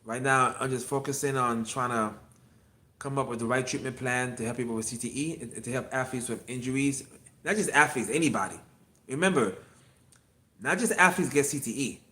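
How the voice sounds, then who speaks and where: A man's voice: mid-range voice, fairly quick, pushed and bright. Rambling fifty-something man on a livestream. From Miami. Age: 50s. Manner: rambling, repeats himself, answers chat viewers. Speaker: acupuncture physician and livestream host. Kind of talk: casual solo livestream. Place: his clinic.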